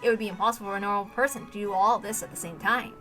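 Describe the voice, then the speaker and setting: A woman reading a story aloud as a narrator, with an animated, emphatic dramatic delivery. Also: medium pitch, very fast, soft background music. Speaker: reader narrating a visual novel.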